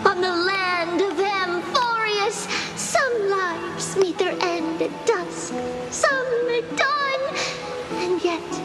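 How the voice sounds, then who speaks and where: A very high, childlike girl's voice for a video game. She is a playful little priestess who speaks slowly, in theatrical sing-song. Loud music plays underneath. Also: full character voice. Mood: dramatic narrating.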